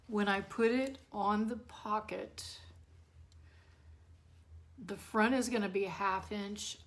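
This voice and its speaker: A woman with a hoarse voice, chatting casually to viewers as she demonstrates sewing steps in her home studio, often correcting herself. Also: medium pitch. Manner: chatty, talks to viewers while working, self-correcting. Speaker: bag-sewing tutorial host.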